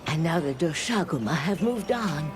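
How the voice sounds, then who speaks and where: A woman playing a gracious, dignified village elder in a video game, speaking in a measured, solemn, formal way. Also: sits low, animated, music in the background. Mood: grateful, solemn. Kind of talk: video game cutscene acting.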